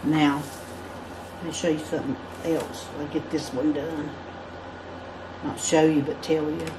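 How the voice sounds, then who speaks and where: Craft instructor, woman with a lowish voice, chatty step-by-step delivery, faint room hiss. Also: level tone, unhurried. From United States. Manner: chatty, step-by-step explaining while drawing. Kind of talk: drawing tutorial. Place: indoors at a work table.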